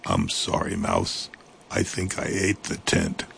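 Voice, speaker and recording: Man doing a deep slow sheepish monster, gravelly and soft, storybook. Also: very animated, slight background noise, heavily acted. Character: big gentle hungry male monster.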